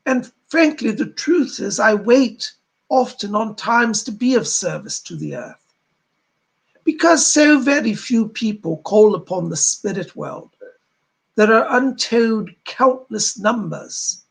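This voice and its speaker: A man voicing a solemn, benevolent spirit guide, addressing a gathering in formal, old-fashioned, sermon-like oratory with a husky, gravelly voice. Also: sits high, lively intonation, measured pace, exaggerated voice. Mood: benevolent, reassuring. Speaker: trance medium speaking as a spirit.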